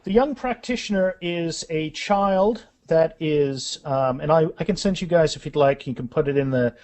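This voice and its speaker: A man's voice: fairly high pitch, normal speed, a little mellow, no room sound. A man talking casually as an author in a podcast interview, often restarting and repeating words. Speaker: author being interviewed about their fantasy series.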